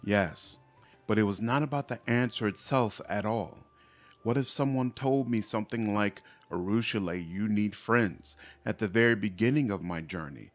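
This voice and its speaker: A man voicing a reformed female demon from a fantasy game, earnest, gentle and measured. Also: lowish voice, soft background music, natural voice. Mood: reflective.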